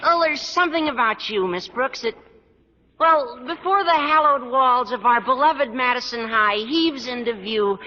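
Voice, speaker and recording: A man playing an earnest American high school boy, squeaky and overblown, in a vintage radio sitcom. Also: unhurried, sharp tone, heavily acted. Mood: gushing, earnest. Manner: gushing, overblown, flustered. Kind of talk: 1940s-50s American radio sitcom acting. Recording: muffled, reverberant old radio recording.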